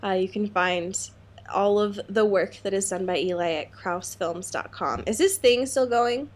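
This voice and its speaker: A woman's voice: mid-range voice, normal speed. A young adult woman co-hosting a podcast in casual, comedic chat, with a jokey, playful, slangy manner and easy swearing. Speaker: podcast co-host.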